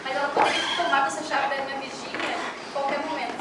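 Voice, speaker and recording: Very high-pitched Brazilian woman, noisy room. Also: level tone, very fast. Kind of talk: informal group discussion. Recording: very echoey, distant mic, loud room noise.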